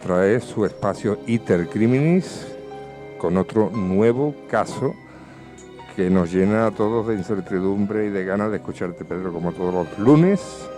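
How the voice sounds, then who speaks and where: A man's voice: fairly low pitch, a little slow. A man from Seville with an Andalusian accent, hosting a radio show in a casual, chatty style. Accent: Andalusian Spanish. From Seville, Spain. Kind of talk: casual radio presenting and chat. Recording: music clearly under the voice. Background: background music.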